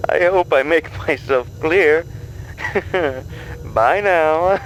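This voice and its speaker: A man's voice: a little high, gravelly, background noise, full character voice. A man playing a smug, scheming mastermind in an audio drama, condescending and given to chuckling.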